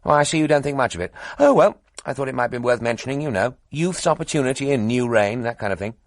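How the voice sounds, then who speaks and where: A man voicing an eager, chattering young upper-class man who gushes in a breathless, high-pitched way. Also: lively intonation, quick pace, dry recording, studio quality, close to an everyday voice.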